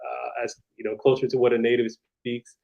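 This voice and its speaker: A man's voice: medium pitch, completely flat delivery, fairly quick, vocal fry. An American man answering interview questions, explaining things step by step in a casual, thoughtful way. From United States. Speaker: guest. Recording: dull lo-fi sound.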